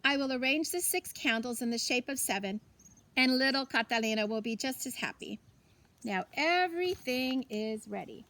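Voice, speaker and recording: A woman's voice: high voice, expressive, normal speed, bright, forceful tone, full character voice. A woman voicing a cheerful, forgetful Mexican grandmother in a children's storybook, exclaiming and musing aloud with Spanish phrases mixed in. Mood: musing, proud. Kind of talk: children's storybook character reading.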